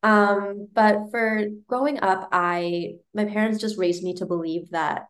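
A young woman from New York, a podcast guest telling her personal story in a bright, giggly way. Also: medium pitch, fairly even intonation, unhurried. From United States, New York.

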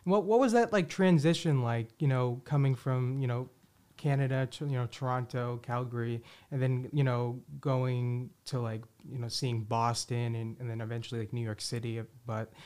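A man hosting a podcast, asking questions in a casual, halting way with stutters and many fillers. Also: mid-range voice, fairly even intonation, a little mellow, smooth. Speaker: podcast host. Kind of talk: casual interview chat.

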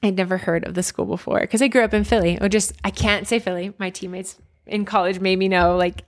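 A woman from near Philadelphia talks candidly in an interview, reflecting on her past with plenty of fillers and self-corrections. Her voice sits at an ordinary pitch with a noticeable gravelly fry. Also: steady tone, a little fast. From United States, near Philadelphia. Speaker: guest, former college basketball player.

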